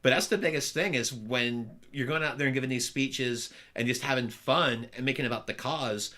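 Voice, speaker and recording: A man hosting a podcast interview, talking in an energetic, upbeat way with a loud voice. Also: mid-range voice, a little fast. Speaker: podcast host. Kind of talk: casual interview chat.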